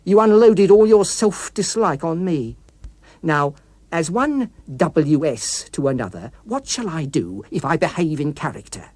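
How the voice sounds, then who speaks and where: A man's voice: on the high side, big pitch swings, measured pace, darkish tone, no room sound. A man voicing a menacing rogue policeman, a vengeful criminal who taunts and accuses, in a dramatic audiobook. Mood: accusing, menacing.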